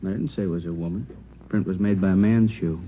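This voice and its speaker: An actor playing an easygoing, conversational burglary detective in a 1950s radio drama. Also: male voice, sits low, quick pace, natural voice. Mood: helpful, offhand. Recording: muffled old radio recording, faint noise.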